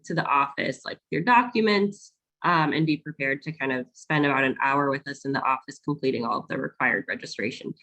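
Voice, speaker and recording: A woman's voice: deep voice, a bit bright. A woman hosting a virtual town hall on a video call, explaining onboarding steps in a friendly, casual way with many ums. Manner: friendly, filler-heavy explaining. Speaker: onboarding staff host.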